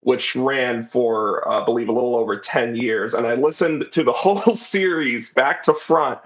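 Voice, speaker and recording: A man speaks in a medium-pitched voice with a casual, conversational delivery. He explains at length, wandering enthusiastically from point to point as an interview guest. The recording is a little dull and lo-fi. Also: normal speed. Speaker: interview guest, writer of an audio drama.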